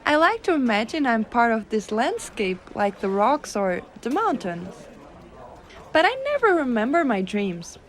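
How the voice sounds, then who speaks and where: A woman playing a gentle, teasing giantess secretary in an ASMR roleplay, coaxing and cooing softly. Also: fairly high pitch, big pitch swings. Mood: gentle, attentive. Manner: soft coaxing, cooing, unhurried.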